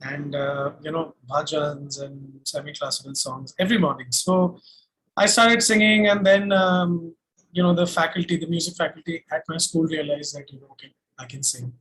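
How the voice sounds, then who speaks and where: A man's voice: fairly high pitch, fairly even intonation, normal speed, fairly bright tone, poor audio. A young man with an Indian accent, chatting casually and telling stories as an interview guest. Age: young adult. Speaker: singer-songwriter guest.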